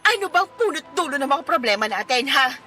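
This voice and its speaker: A woman's voice: sits high, big pitch swings, brisk, bright, forceful tone, full character voice. A woman playing a jealous, furious young girlfriend in a melodramatic radio drama, confrontational, sarcastic and tearful.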